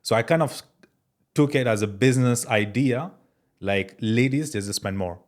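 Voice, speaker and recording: A young man from France, a guest entrepreneur, talking about his life in a relaxed interview full of fillers like "bro" and "man.". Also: medium pitch, moderate pace. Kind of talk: casual interview chat.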